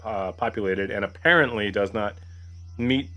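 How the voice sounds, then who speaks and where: Lower-pitched man playing a tabletop game. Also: moderate pace, pushed and bright. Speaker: player in a tabletop roleplaying game. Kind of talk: casual game-table talk, partly in character.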